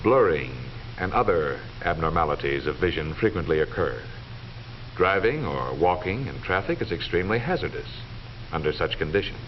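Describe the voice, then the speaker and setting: A middle-aged American man giving formal, measured, authoritative scripted narration in the manner of a 1940s educational film. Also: low-pitched, sing-song. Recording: dull vintage 1940s film soundtrack, faint noise.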